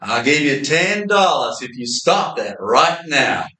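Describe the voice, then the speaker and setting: An amateur actor playing a cocky, blundering supernatural detective in an old-time style radio play, breezy and wry. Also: male voice, mid-range voice, very animated, unhurried, sharp tone. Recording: echoey, distant, noisy with mechanical rattle.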